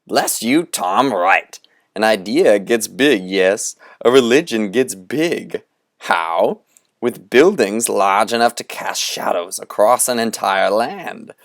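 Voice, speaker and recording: A man's voice: on the low side, expressive, slow, no room sound, clean studio sound. A man reading an audiobook, voicing a grand, theatrical old male trickster guide who declaims in a showy, sweeping way. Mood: grandly scheming.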